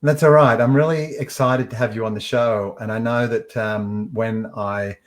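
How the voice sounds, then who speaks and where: A man hosting a show, earnestly introducing his guest with occasional filler pauses. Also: mid-range voice, fairly even intonation. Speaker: podcast host.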